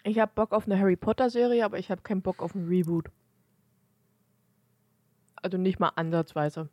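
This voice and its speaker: A woman's voice: medium pitch, a little fast, darkish tone, dry recording. A woman co-hosting a podcast, chatting casually in a blunt, emphatic way. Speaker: podcast co-host. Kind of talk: casual chat.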